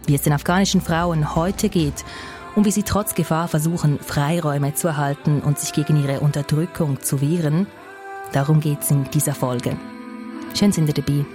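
A woman hosting a news podcast, reading a scripted narration in a calm, measured way. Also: low-pitched, music in the background. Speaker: podcast host.

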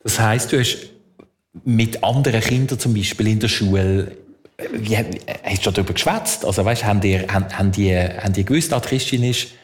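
A man's voice: lowish voice, a little fast. Swiss German male host, hesitant but probing interviewer.

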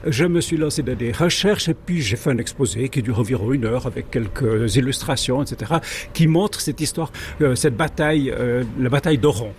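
A man with a Swiss French accent explains history in a conversational way. He is good-humoured and a little hesitant, wandering into asides. His voice is mid-pitched, with slight ambient noise behind it. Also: a little fast, a little mellow. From French-speaking Switzerland, Jura arc. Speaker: guest, amateur railway historian.

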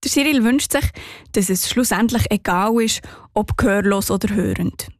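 A woman's voice: mid-range voice, moderate pace, no echo, studio quality. A woman with a Swiss German accent narrating a scripted radio report.

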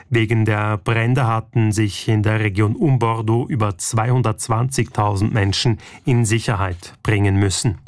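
A man reading a radio news bulletin in a measured, even way. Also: on the low side, dry recording, clean studio sound. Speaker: radio newsreader.

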